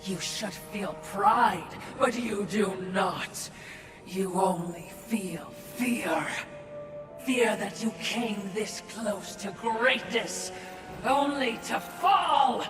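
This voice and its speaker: Mocking demon servant, roomy echo, long hall reverb, distant. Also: mid-range voice. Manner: mocking, fast, with shouted outbursts. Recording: echoey, distant, with music.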